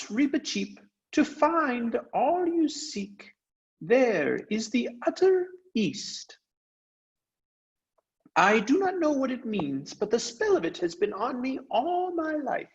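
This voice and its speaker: A man's voice: very high voice, very animated, takes it slowly, highs cut off, pitched above the performer's own voice, full character voice. A man voicing a small, valiant talking mouse with a courtly, earnest, formal manner for a storybook reading. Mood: solemn, earnest.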